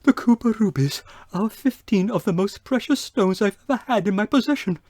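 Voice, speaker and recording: Woman as indignant shop owner, radio mystery, agitated, exclaiming, mellow tone, dry close sound. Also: medium pitch, expressive, fairly quick, no echo, close to an everyday voice. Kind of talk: old-time radio mystery acting.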